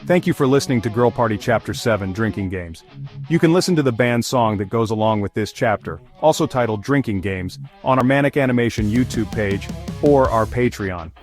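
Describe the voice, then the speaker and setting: Man, storyteller, first-person fantasy narration, comedic script, average pitch, guitar music underneath. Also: a little fast. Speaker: narrator of an audio fiction series. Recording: music behind the voice.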